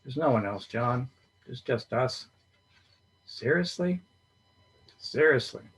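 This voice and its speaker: A man's voice: mid-range voice, expressive, slow pace, a bit bright, breathy edge, heavily acted. A man reading as a blunt, wisecracking old man in his sixties, a school friend who teases dryly. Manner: dry teasing jokes.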